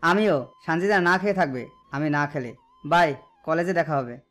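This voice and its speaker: A man's voice: a little high, normal speed, fairly bright tone, soft background music, close to an everyday voice. A man playing a concerned young college friend in an audio story.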